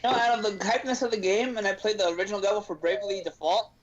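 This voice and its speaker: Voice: mid-range voice, fairly even intonation, very fast. A podcast host talking casually and emphatically about gaming news, ranting at length. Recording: dull lo-fi stream audio.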